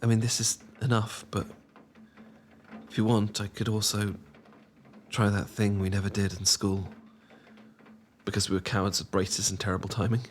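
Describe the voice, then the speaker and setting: A man acting as a tender, bashful young British man in a soft-spoken ASMR romance audio drama. His voice sits fairly low, and he stammers and hesitates as he gently teases. A clear wash of rain runs behind him. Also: little pitch movement, normal speed, long pauses, a little hiss or hum, lightly acted. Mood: nervous, tender. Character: shy, tender young man confessing love to old friend. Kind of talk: intimate ASMR boyfriend roleplay. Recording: clear background ambience of rain. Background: rain ambience.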